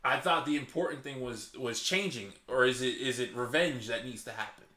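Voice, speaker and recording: A man hosting his own channel, reasoning aloud in casual commentary. He is passionate and restarts often, and says his naturally loud voice is held low on purpose. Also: fairly quick, reverberant. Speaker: channel host. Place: hot indoor room.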